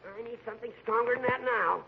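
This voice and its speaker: An actor playing a fussy, dyspeptic, peevish train passenger in a 1940s radio comedy. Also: male voice, very high voice, fast, gravelly, a crowd in the background. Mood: outraged. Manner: peevish, snappish, querulous. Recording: muffled, hissy 1940s radio broadcast.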